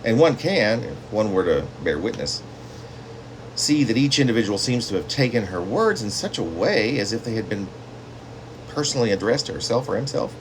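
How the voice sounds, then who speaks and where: A man reading his own literary story as a poet, with theatrical, drawn-out phrasing and dramatic emphasis. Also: on the low side, sing-song, a little hiss or hum, low-quality recording.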